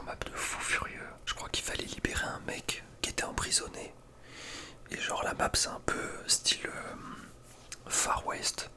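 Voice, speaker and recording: A young man speaks in a soft breathy whisper, giving casual, slangy ASMR gaming commentary with a hesitant delivery. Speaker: ASMR video creator.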